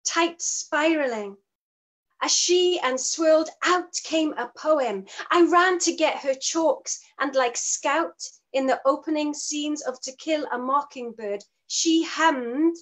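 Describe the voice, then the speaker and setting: Gentle Welsh woman poet. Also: high-pitched. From Wales. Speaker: guest poet. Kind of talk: poetry reading and chat. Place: home room with shelves. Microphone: video call.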